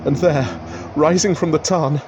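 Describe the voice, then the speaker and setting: An actor playing a terrified, guilt-ridden gentleman in a radio drama, speaking in feverish, theatrical, archaic phrases. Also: on the high side, steady tone, measured pace, noisy background, close to an everyday voice. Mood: awed dread. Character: terrified, guilt-ridden, nervously sick gentleman haunted by his sister. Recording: dramatic music behind the voice.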